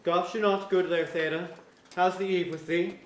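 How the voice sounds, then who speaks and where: Guy acting bitter bookish prisoner, old timey verse, kinda high voice, echoey auditorium. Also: fairly even intonation, unhurried, slight background noise. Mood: dry weariness. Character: bookish, wry, resentful captive scholar. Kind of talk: staged reading of pseudo-Elizabethan verse drama. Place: museum auditorium, live reading.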